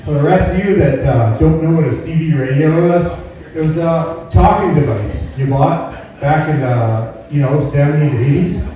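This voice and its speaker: A man with a Canadian accent doing stand-up in a very echoey room, heard from far off the mic. His voice is a bit high and on the mellow side, and he rambles along in a folksy way. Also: normal speed. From Alberta, Canada. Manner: rambling, folksy, checks in with "right?". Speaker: stand-up comedian. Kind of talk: stand-up comedy routine. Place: live comedy venue indoors.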